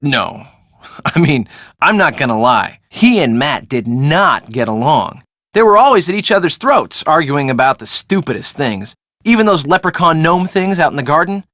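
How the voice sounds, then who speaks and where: A sarcastic young woman in a video game, casual and slangy. Her pitch swings freely as she makes her wry remarks, and the sound is close and dry. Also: sing-song, moderate pace, fairly bright tone, dry recording, heavily acted. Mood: gossipy, exasperated. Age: young adult. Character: breezy, sarcastic young woman, evasive friend of the groom. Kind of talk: video game dialogue acting.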